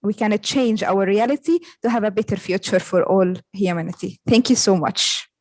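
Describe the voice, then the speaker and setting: A woman's voice: medium pitch, normal speed, a little mellow, no room sound. A woman presenter closing her talk in an earnest, upbeat way. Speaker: webinar presenter.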